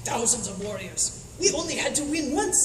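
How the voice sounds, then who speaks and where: Grandiose subterranean queen, actress: haughty, animated comic radio voice, noisy echoing hall. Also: on the high side, normal speed, lightly acted. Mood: defensive, boastful. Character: imperious, grandiose female ruler of an underground realm. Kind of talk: comic radio play acting. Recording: echoey distant hall, clear noise.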